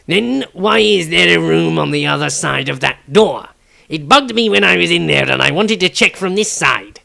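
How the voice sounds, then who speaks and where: A man's voice: fairly high pitch, expressive, moderate pace, gravelly, pitched above the performer's own voice, exaggerated voice. A man reading a story aloud, voicing a smug, arrogant male halfling thief who talks sharply. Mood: snappish. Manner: sharp, snappish.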